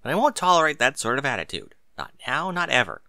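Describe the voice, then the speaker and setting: A man's voice: mid-range voice, very animated, quick pace, sharp tone, no room sound, clean studio sound, voice pushed up. A man voicing an exasperated, stern mother of children in an audiobook, speaking in an emphatic, motherly way. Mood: scolding. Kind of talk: audiobook character voice.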